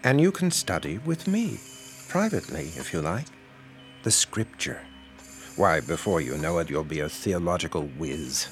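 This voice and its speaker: An American actor playing a middle-aged, paternal, insinuating pastor in an audio drama, measured and preachy. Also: male voice, deep voice, sing-song, soft background music. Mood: coaxing. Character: paternal, insinuating small-town pastor with grown daughters.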